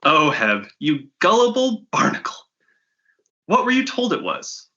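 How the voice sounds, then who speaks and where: A man voicing a brisk, flirtatious woman fence in a comic, bossy and mocking, with a bright voice. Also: high-pitched, wildly swooping pitch, muffled recording, pitched above the performer's own voice, heavily acted.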